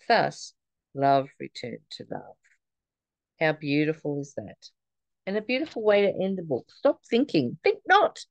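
A woman's voice: on the low side, darkish tone, dry recording. A woman reading aloud from a spiritual book and adding informal comments, reverent and emphatic, lingering over phrases. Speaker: spiritual study reader.

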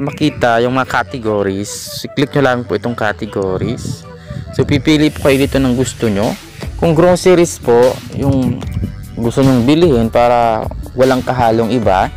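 A man with a Filipino accent hosting a screen-recorded app tutorial, talking in a relaxed way and leaning on fillers like "ayan" and "okay". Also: medium pitch. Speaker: tutorial host. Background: background music.

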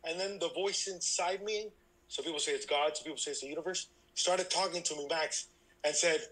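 Bright, high-voiced energetic guest. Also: male voice, a little fast, poor audio. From Cuba, raised in Miami. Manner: energetic, frank and self-deprecating. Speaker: baseball instructor guest. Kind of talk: candid life story in interview.

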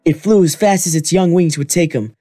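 A man's voice: a little high, fairly quick, warm tone, faint music behind. A man giving scripted narration of a children's fairy tale.

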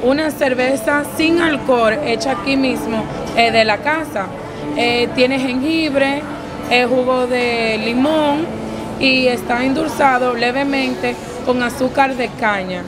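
Una mujer reseñando comida con entusiasmo. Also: female voice, high-pitched, measured pace. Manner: upbeat, describes dishes in detail. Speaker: co-host. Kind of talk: casual food review. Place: busy indoor restaurant. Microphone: handheld camera across the table. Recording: loud music and crowd chatter.

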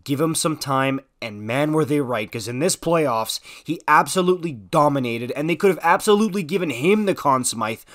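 A man with a slightly high voice narrates a sports opinion video. He talks conversationally and leans on his words for emphasis, with casual slang throughout. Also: animated, moderate pace, hardly any pauses, fairly bright tone. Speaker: sports commentary video host. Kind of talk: informal opinionated sports commentary, voice-over.

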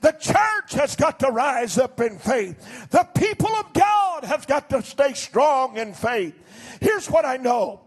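An older man preaching a sermon as pastor from a church stage into a handheld mic, swinging between shouted declarations and low, quiet passages. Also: very animated, takes it slowly, slightly rough. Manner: fervent preaching, rising to shouts then dropping low.

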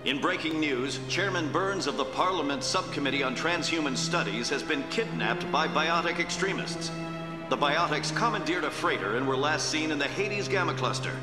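A man's voice: a little high, animated, moderate pace, lightly acted. A man playing a formal news announcer delivering a bulletin in a video game. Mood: formal, urgent. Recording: echoing broadcast, long reverb, music behind.

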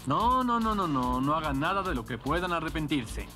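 A man's voice: a little high, animated, moderate pace, lightly acted. A man voicing a loyal young hero's sidekick in a Latin American Spanish cartoon dub. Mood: urgent. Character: brisk loyal young male hero sidekick. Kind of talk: Spanish-dubbed cartoon acting. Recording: loud music behind the voice.

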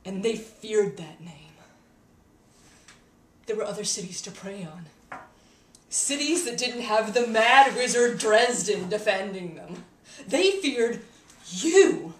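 A woman playing a haunted, embittered young wizard turned killer in a dramatic monologue, intense and halting, swinging between hush and outcry. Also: lowish voice, natural voice. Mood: anguished, accusing. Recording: echoey room, distant mic, faint noise.

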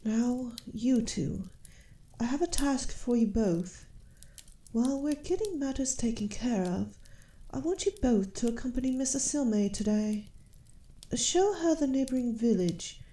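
Woman acting a slow, mellow elf queen. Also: a little high, lively intonation. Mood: commanding. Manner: regal, measured, softly spoken. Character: gracious, shrewd elf queen and mother. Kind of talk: fantasy audio roleplay, ASMR. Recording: roomy echo.

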